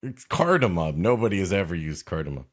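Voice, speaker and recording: Male comic co-host: low, animated voice, quick to laugh and curse. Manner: joking, laughs often, swears casually. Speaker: podcast co-host. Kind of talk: casual comedic banter.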